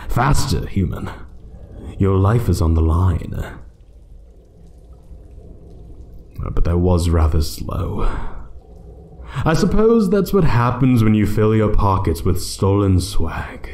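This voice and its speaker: An ancient dragon guarding its hoard , acted by a man, speaks in a dark, mellow voice that swings widely in pitch. The delivery is measured and theatrical, sometimes hushed, with a condescending, playful menace suited to fantasy ASMR. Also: very animated, slight background noise, full character voice. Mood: cold, commanding. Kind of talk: fantasy ASMR roleplay monologue.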